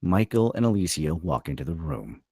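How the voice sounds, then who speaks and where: Very deep, gravelly male narrator. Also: expressive, moderate pace, mellow, no echo, studio quality. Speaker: narrator reading stage directions. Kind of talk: scripted narration at a table read.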